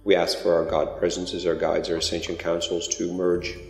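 A man leading a guided meditation in a slow, gentle, drawn-out way. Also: low-pitched, monotone. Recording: roomy reverberant recording with soft music. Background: new-age music.

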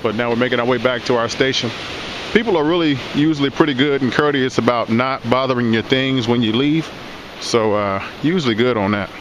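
A middle-aged man narrating a casual fishing how-to in an easygoing, folksy way. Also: brisk. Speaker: host of a fishing channel. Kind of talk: casual instructional vlog narration. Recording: loud steady background hiss and rumble.

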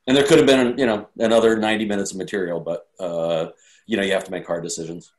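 Older male director, low voice, halting, webcam in a home study. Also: steady tone, a little mellow. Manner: halting, searching for words. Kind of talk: casual video call discussion. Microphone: webcam on a video call.

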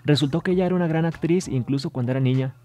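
Mellow-voiced man reading showbiz news. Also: a little high, very fast. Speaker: news show host. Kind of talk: scripted entertainment news narration.

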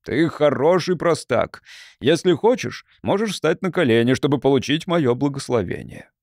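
A man's voice: mid-range voice, very animated, moderate pace, creaky, a little mellow, dry recording, studio quality. A man voicing a stern, irritable monastery abbot in an audiobook, with an authoritative manner. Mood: pleased, solemn. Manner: scolding, authoritative.

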